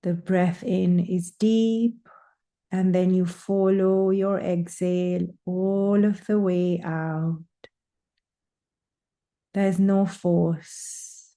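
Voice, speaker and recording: A woman leading a guided breathing meditation, speaking in slow, soothing, drawn-out phrases with long pauses. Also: lowish voice, little pitch movement, warm tone.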